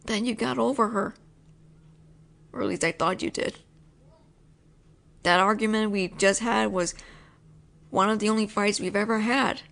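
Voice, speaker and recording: Young woman, audio roleplay, possessive teasing roommate, soft low delivery, unhurried. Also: gravelly. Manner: soft, low and lingering, slipping into whispers. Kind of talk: intimate audio roleplay, speaking to a listener.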